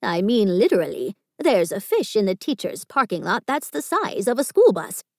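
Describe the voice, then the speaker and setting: A woman voicing a gruff, eccentric woman who guards the garbage and brags about fighting opossums, in a storybook reading. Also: mid-range voice, very animated, moderate pace, a little mellow, dry recording, clean studio sound. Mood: brash. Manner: brash, boastful tall talk.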